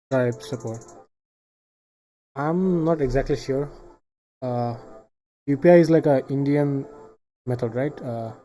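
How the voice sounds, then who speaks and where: A man from Bangladesh, thinking aloud in casual live coding commentary, halting and trailing off into quiet asides. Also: medium pitch, poor audio. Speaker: live streamer building software.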